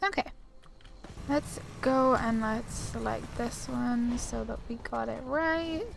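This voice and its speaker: A woman's voice: fairly high pitch, takes it slowly, gravelly, poor audio. A woman hosting a gaming video, giving casual commentary as she plays. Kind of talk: casual game playthrough commentary. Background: game music.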